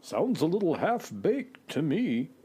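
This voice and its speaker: An actor playing a proud, deadpan, slightly huffy talking space horse in a children's radio play. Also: mid-range voice, a little slow, loud music behind the voice.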